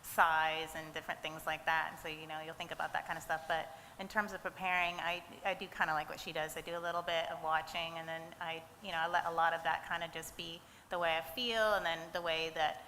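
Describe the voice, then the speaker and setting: Bright-toned woman fighter, rambling answers. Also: low voice, level tone, fast talker, hardly any pauses. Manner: thoughtful, rambling, many fillers. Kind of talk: press conference answer. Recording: roomy hall, faint noise.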